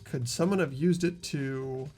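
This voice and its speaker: A man voicing an earnest, anguished teenage boy student investigating a murder, in dramatic halting monologue for a visual novel. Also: mid-range voice, darkish tone, faint music behind, close to an everyday voice. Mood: puzzled, earnest. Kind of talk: voiced visual novel reading.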